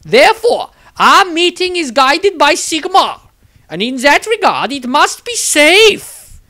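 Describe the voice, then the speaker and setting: A man's voice: very high-pitched, sing-song, slow, bright, forceful tone, voice pushed up, exaggerated voice. A man voicing an overbearing, amorous, zealous nun in an audio story, gushing and cooing with great emphasis. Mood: zealous, insistent.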